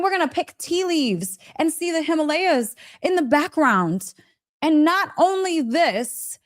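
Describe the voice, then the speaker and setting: A woman with a fairly high voice talks as a spiritual teacher on a casual live stream. She is gushing and emphatic, often repeating phrases for weight. She speaks straight to her listeners, checking in with little questions as she goes. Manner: chatty, direct address with frequent check-in questions. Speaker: host of a live story session, spiritual teacher.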